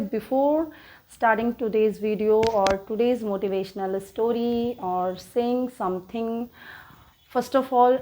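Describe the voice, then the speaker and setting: A woman from India, native in Hindi, with an Indian accent, hosting her own English-learning channel and talking slowly in learner's English, full of fillers and restarts. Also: fairly high pitch, warm tone, a little hiss or hum, low-quality recording. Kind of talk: casual motivational talk to viewers.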